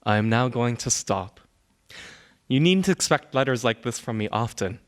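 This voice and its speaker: A man performs a young male immigrant farmhand's letter home as a dramatic reading. The voice sits at a medium pitch. The phrasing is measured and deliberate, with an observant, matter-of-fact storytelling manner. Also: lively intonation, natural voice. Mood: descriptive, storytelling. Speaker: reader. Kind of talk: dramatic reading of a historical letter, scripted narration.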